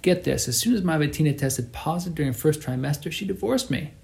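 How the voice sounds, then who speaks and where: A man's voice: a little high, expressive, fast talker, creaky, darkish tone, pitched above the performer's own voice. A man reading as a chatty, nosy male cab driver with a sing-song voice. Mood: chummy. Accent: northern provinces sing-song. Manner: sing-song, gabby, slangy.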